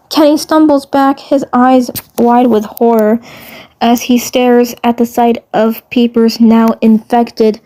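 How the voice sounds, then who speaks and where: A woman storyteller with a mellow, slightly high voice. She narrates dramatic fan fiction haltingly, going back over her phrases as she goes.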